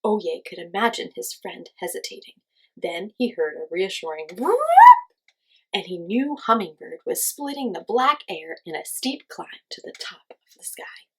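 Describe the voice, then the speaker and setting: A woman reading a children's story aloud as host, in an expressive storytelling style. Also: fairly low pitch, normal speed, breathy edge, low-quality recording.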